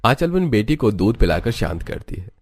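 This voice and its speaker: Male narrator, lowish pitch, scripted storytelling delivery. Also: very animated, brisk, husky, no echo, clean studio sound.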